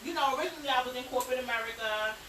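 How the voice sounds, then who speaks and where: Brief: woman in her forties, higher voice, chatty and excited, from Guyana and New Jersey, recorded far from the mic in an echoey room with steady noise. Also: racing. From born in Guyana, raised in New Jersey. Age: 40s. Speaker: guest, barber and business partner. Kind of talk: casual interview. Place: indoor basement room.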